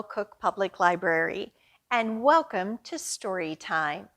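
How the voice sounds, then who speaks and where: Slow-paced woman leading children's storytime. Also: a little high, fairly bright tone. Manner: simple, addressing young children directly. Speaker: children's librarian hosting storytime. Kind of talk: children's storytime presentation and picture book read-aloud.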